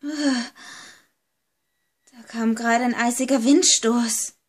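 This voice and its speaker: A woman's voice: a little high, steady tone, takes it slowly, husky. A woman playing a frightened, hesitant girl exploring a haunted villa in an amateur horror audio drama. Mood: nervous. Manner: hesitant, anxious.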